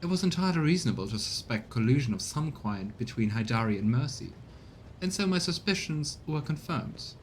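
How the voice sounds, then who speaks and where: A man's voice: medium pitch, expressive, brisk, slight background noise, natural voice. A man voicing a prim, formal retainer in a video game, wordy and deferential in manner. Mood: officious, formal. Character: prim, formal retainer reporting to his lord.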